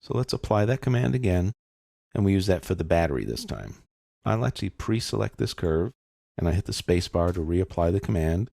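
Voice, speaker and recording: A man giving an informal step-by-step software tutorial, explaining casually as he thinks aloud. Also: sits low, animated, a little fast, vocal fry, no room sound, studio quality.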